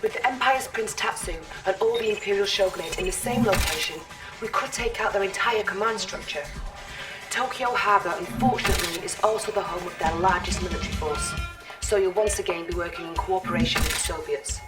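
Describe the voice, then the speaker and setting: A woman playing an earnest, friendly military lieutenant in a video game, briefing in a clear manner. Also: medium pitch, fairly quick, close to an everyday voice. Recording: slightly distant, music behind.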